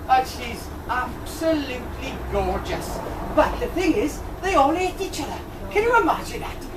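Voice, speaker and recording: A woman playing Buttons, a cheeky, chatty, lovelorn Welsh servant lad in a pantomime, rambling colloquially to the audience. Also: sits high. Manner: colloquial, rambling, tag questions. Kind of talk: British pantomime, bantering with the audience. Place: tavern stage performance. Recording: distant, echoey amateur video with crowd noise.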